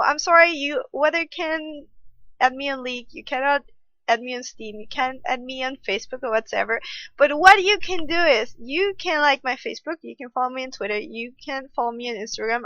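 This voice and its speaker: A woman's voice: high-pitched, pushed and bright, dry recording, highs cut off. A woman in her twenties from Austria, a German native speaker with an Austrian accent, chatting casually and self-mockingly to camera as a streamer. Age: 20s. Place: home room at night.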